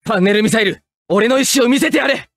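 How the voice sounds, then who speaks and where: A man playing a proud, hot-headed young mecha pilot, barking short forceful attack calls in an anime fighting game. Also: extremely high pitch, fast, dry recording, clean studio sound, heavily acted. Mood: fierce.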